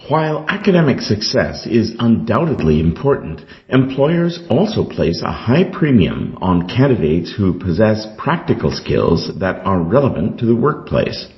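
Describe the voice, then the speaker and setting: A man's voice: fairly low pitch, lo-fi sound. A man reading a scripted model essay aloud in the role of a reader for English exam practice.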